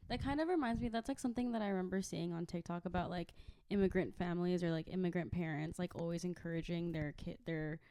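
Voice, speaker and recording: A woman's voice: on the low side, fast talker, clean tone. A young woman co-hosting a podcast, asking curious questions in a casual chat. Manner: curious, asks questions, lively reactions. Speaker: podcast co-host.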